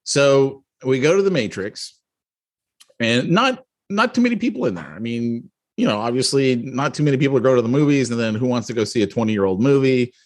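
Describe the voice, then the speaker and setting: A middle-aged man co-hosting a podcast, telling a story in a rambling, animated way with many restarts and asides. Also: mid-range voice, brisk. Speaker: podcast co-host. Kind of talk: casual storytelling chat.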